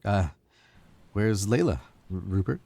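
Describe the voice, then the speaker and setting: A man playing a smooth, arrogant young murderer in an old-time radio drama recreation, clipped and theatrical. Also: lowish voice, animated, unhurried, dark tone, exaggerated voice. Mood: flustered. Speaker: radio play actor.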